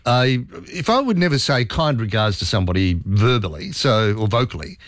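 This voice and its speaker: Lively Australian radio host. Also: male voice, mid-range voice, normal speed, dry recording, clean studio sound. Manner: friendly, conversational, lively. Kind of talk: radio talkback.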